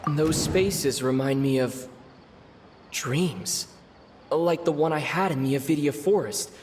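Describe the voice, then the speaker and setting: A man playing an earnest young adventurer in a video game, speaking in a deliberate, reflective way as he pieces things together. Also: on the high side. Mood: pensive, certain. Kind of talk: video game cutscene monologue. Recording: long reverb, clear background noise.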